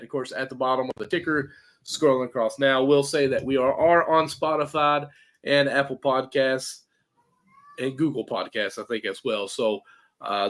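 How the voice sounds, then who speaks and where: A man co-hosting a casual sports podcast, hoarse and congested, rambling and restarting his thoughts. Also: medium pitch. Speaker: podcast co-host.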